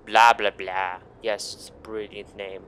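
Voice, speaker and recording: A man's voice: on the low side, little pitch movement, measured pace, fairly bright tone, slight background noise. A young man giving casual, crude and exclamatory commentary while playing a video game. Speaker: gaming video host. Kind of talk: casual let's play commentary.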